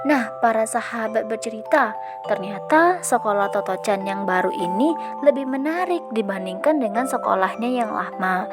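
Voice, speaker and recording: A young woman from Indonesia reading a children's story aloud, asking her young listeners lively questions. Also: a little high, normal speed, loud music behind the voice. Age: young adult. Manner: lively, addresses listeners as "sahabat bercerita", rising questions.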